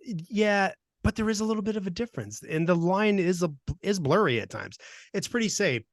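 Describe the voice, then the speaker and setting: A man's voice: high voice, animated, a little fast, no room sound, clean studio sound. A man in his fifties from Vermont, co-hosting a casual podcast with a jokey, chuckling manner. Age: 50s. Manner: jokey, chuckles often between points. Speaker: podcast co-host.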